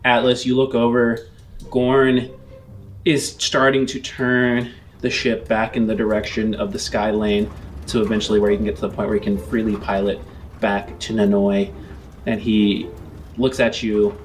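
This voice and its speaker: A man narrating a tabletop game as game master, in deliberate phrases with frequent pauses. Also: fairly low pitch, level tone, slight background noise. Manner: deliberate, pausing often between phrases.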